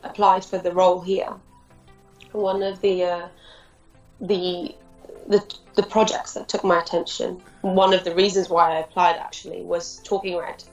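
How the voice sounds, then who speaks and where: A woman answering interview questions in a relaxed, chatty way, often saying "you know". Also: fairly low pitch, normal speed. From Turkey. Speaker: committee member. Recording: distant, dull, roomy, faint music.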